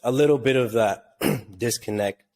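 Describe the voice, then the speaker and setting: A man's voice: mid-range voice, moderate pace. A man from Tanzania co-hosting a podcast, chatting casually and rambling with lots of "like". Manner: relaxed and rambling, heavy use of "like". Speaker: podcast co-host.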